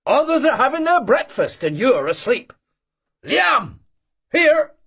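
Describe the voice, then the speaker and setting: A man voicing a loud, boisterous old male Cossack hunter who shouts and teases. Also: sing-song, slow, vocal fry, breathy edge, heavily acted. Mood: scolding. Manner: booming, teasing, shouting.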